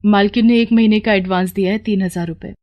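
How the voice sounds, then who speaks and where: A woman's voice: medium pitch, steady tone, fairly quick, a little mellow, natural voice. A woman voicing a poor, worried and dutiful wife in a moral story, plaintive and deferential. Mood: worried, pleading. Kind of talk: Hindi moral story dialogue.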